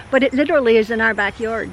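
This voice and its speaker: An older woman with a high, hoarse voice, worn out after an illness, chatting outdoors in a rambling, heartfelt way. Birds sing faintly behind her under a light hiss of noise. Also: a little fast, low-quality recording. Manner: rambling, warm, frequent laughs and fillers. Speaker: channel host. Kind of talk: casual vlog chat. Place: outdoors in a backyard.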